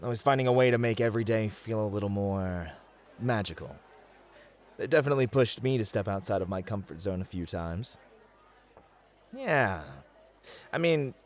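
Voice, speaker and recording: A man playing a charming, flirty club host and entertainer in an ASMR roleplay, with a florid, theatrical, flattering manner. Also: on the low side, slight background noise, natural voice. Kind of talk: scripted ASMR roleplay, acted. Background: background music.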